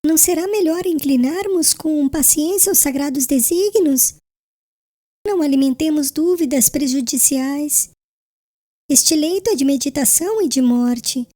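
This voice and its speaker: A woman playing a gentle, devout young fiancée on her deathbed in an audiobook drama, tender and earnest with formal diction. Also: high-pitched, a little slow. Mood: serene, devout.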